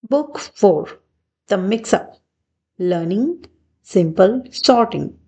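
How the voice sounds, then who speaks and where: Female children's storybook narrator, extremely unhurried delivery, highly animated intonation, expressive read-aloud for little ones. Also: slightly rough. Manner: slow, deliberate, expressive phrasing. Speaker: children's book reader.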